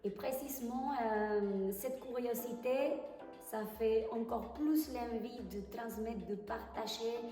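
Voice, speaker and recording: A woman from Argentina with an Argentine Spanish accent and Spanish as her first language, answering interview questions hesitantly and pausing to find her words. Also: a little high, mellow, soft background music. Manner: hesitant, pauses to search for French words. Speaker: tango teacher, interview guest. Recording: echoey hall, far from the mic.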